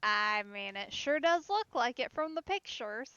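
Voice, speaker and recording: Unhurried, higher-voiced woman streaming a game. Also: big pitch swings, bright, forceful tone, lo-fi sound, a little hiss or hum. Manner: musing aloud, reacting to the game. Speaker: let's play streamer. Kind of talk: casual live game commentary.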